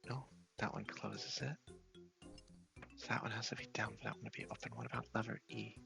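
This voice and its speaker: A man giving soft, whispery ASMR commentary over a game, casually thinking aloud in a low-key way. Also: steady tone, brisk, music in the background. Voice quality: soft, breathy, often whispery. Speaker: gaming video host.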